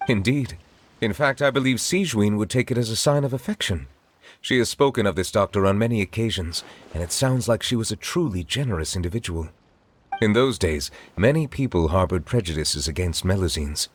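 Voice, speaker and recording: An actor playing a stately male chief judge who speaks in a formal, measured, courtly way. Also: lowish voice, lively intonation, a little hiss or hum, natural voice.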